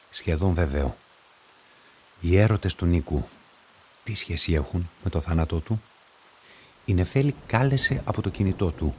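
An actor playing a methodical police investigator who reasons aloud deliberately in a radio drama. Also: male voice, deep voice, a little mellow, a little hiss or hum, lightly acted.

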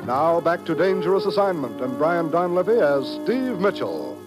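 A man's voice: on the high side, sing-song, normal speed, over loud music. A man working as a 1950s radio announcer, reading a scripted public service appeal in an earnest, persuasive way. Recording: vintage 1950s radio broadcast.

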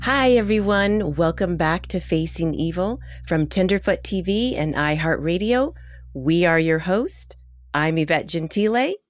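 A woman co-hosting a podcast with a naturally raspy voice, chatting eagerly and teasing playfully. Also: lowish voice, lively intonation, unhurried. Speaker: podcast co-host. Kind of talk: casual chat.

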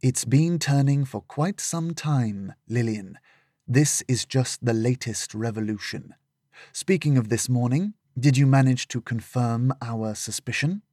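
Audiobook: man playing a grave, wise old mentor, unhurried. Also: medium pitch, dark tone, no echo, studio quality, natural voice. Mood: solemn. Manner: slow, solemn and deliberate.

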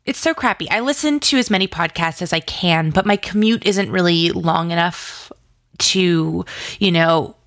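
A woman with a fairly low voice and a noticeable creak talks casually as an interview guest. Her phrasing is informal and hesitant, peppered with "like." The sound is dry and close, with very little room on it. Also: moderate pace, fairly bright tone, no echo. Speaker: guest, audio drama co-creator and writer.

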